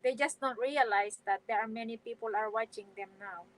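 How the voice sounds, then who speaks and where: A woman's voice: on the high side, fairly quick, low-quality recording. A middle-aged woman hosting a casual live stream from home, chatting with viewers and reading their comments, hesitant, with many fillers and restarts.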